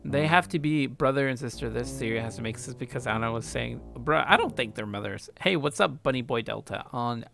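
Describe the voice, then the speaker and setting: A man's voice: medium pitch, a little fast, vocal fry, hardly any pauses, a bit bright, faint music behind. A man streaming a let's play, reading a visual novel aloud and reacting casually.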